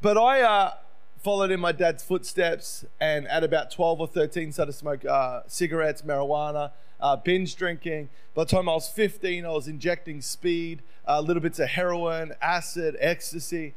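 Male preacher, Australian accent, middle-aged, high-pitched voice, conversational storytelling, self-deprecating. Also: normal speed, clean tone. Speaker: visiting preacher. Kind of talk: sermon, personal testimony.

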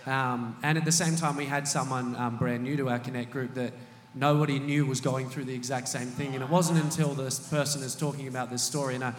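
A man's voice: medium pitch, steady tone, brisk, hardly any pauses, slight background noise. Man telling stories in an echoey hall. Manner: storytelling, hesitant fillers. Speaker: small group leader. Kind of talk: casual church panel chat. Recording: echoey hall with long reverb.

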